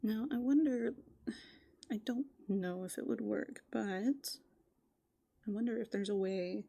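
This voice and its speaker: A soft-spoken woman doing rambling, playful ASMR as a raccoon, musing aloud and often slipping into whispers. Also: mid-range voice, unhurried, vocal fry. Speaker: ASMR host. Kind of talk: furry roleplay ASMR, improvised rambling.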